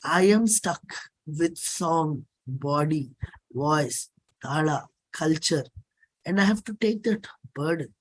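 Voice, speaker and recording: Indian woman performer for a dramatic play reading; lowish voice, slow, weighty declamatory delivery. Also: animated, husky, low-quality recording. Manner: deliberate, declamatory.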